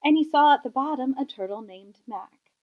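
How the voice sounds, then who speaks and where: High-pitched, animated woman reading a storybook. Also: normal speed, narrow, tinny audio. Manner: rhythmic verse delivery. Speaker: storybook reader. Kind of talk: expressive children's storybook narration.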